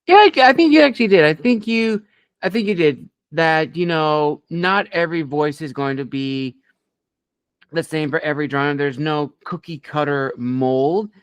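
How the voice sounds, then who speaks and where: A man hosting an interview with a narrator, affirming and measured as he asks questions. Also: a little high, clean tone, no room sound. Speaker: podcast host.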